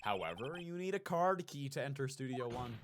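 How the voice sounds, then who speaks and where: A man's voice: medium pitch, big pitch swings, normal speed, slight background noise, lightly acted. A man playing a stern but bemused older judge in a video game, speaking in formal pronouncements.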